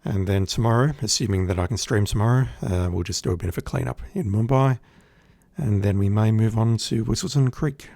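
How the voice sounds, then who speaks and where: An Australian man giving relaxed, chatty commentary as he plays a game on stream, with a wry humor. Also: lowish voice, brisk, creaky, dry recording. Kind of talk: casual gameplay commentary.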